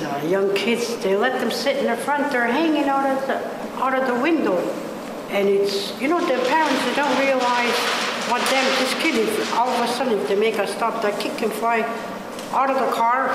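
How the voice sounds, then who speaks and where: An older woman speaks plainly and casually at a community meeting, in broken phrases. The hall is very echoey, with loud noise behind her. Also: medium pitch. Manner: plain-spoken, broken phrasing with tag questions. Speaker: meeting participant.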